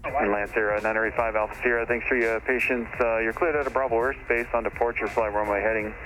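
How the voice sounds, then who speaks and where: A man's voice: medium pitch, fairly even intonation, brisk, a bit bright, poor audio. A man working as an air traffic controller, giving clipped procedural instructions over aviation radio. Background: music.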